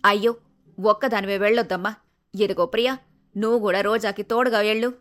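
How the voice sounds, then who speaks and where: A woman playing a feisty, excitable middle-aged mother-in-law in a story. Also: mid-range voice, fairly quick, natural voice. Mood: concerned.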